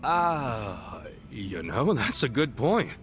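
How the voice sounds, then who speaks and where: A man's voice: medium pitch, big pitch swings, takes it slowly, a bit bright, a little hiss or hum, heavily acted. An actor playing a loyal, earnest, streetwise ex-yakuza man with an American accent and casual slangy talk, in a video game dub. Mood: good-natured. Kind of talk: English video game dub acting, conversational.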